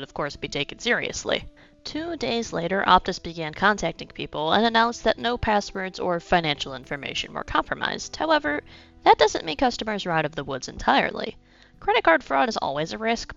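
A woman's voice: mid-range voice, gravelly, a bit bright, highs cut off. A young woman hosting a podcast, narrating a script with a slightly congested voice from a cold and dropping in wry asides. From United States. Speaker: podcast host.

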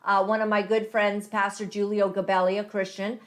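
A woman's voice: medium pitch, level tone, normal speed. A woman hosting an interview, talking in a chatty, enthusiastic way and laughing often. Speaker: podcast host. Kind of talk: interview chat.

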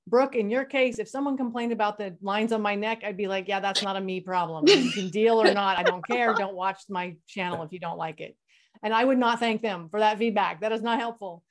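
A woman's voice: mid-range voice, a little fast. A woman moderating a discussion panel, speaking bluntly and frankly.

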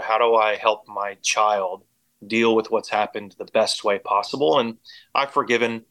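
An American man shares his personal story as an interview guest. His voice sits fairly low and stays very even, with little rise and fall. He speaks earnestly and reflectively. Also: rough recording. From Indiana and Oklahoma, United States. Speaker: podcast guest.

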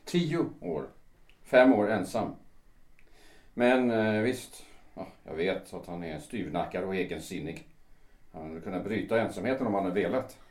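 Low, halting middle-aged lawyer. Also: male voice, animated, darkish tone. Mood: hesitant, defensive. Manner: halting, formal, old-fashioned phrasing. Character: ashamed, hesitant 58-year-old lawyer, defensive brother. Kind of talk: radio drama acting. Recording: roomy, echoing interior.

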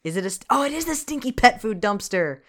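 A man's voice: high voice, big pitch swings, fast, fairly bright tone. A man co-hosting a podcast, recapping a movie in casual chat, wry and profane, reading from his notes. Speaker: podcast co-host.

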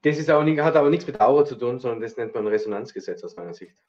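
A man co-hosting a spiritual podcast, speaking in measured, thoughtful interjections. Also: medium pitch, animated, a little mellow, highs cut off. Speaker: podcast co-host. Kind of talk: casual spiritual chat.

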